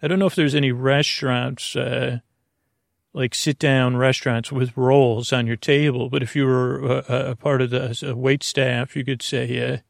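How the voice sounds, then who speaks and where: Gravelly guy doing a rambly sleep podcast, lots of hesitating and wandering off, really dry sound. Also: mid-range voice, little pitch movement, no echo. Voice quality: gravelly, creaky. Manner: hesitant, halting, trailing off into tangents. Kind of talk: rambling, meandering bedtime monologue.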